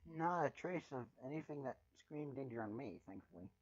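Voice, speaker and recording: A man's voice: medium pitch, lively intonation, moderate pace, no room sound, lo-fi sound. A man reading a first-person story aloud with dramatic, sardonic and exasperated delivery. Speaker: fan fiction reader.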